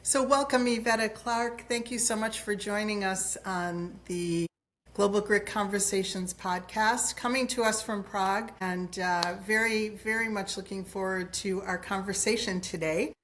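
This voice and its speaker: A woman's voice: mid-range voice, fairly even intonation, a little slow, fairly bright tone. Woman, podcast host, interviewer, encouraging, hesitant, restarts phrases. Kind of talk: interview hosting.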